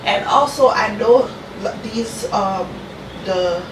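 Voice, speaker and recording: A woman's voice: medium pitch, slow, background noise. A woman with a congested, nasal voice from a cold, chatting casually as host of a cooking vlog and often saying "you guys" and "okay". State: congested from a cold, talking through her nose. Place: home kitchen. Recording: echoey room, mic at a distance.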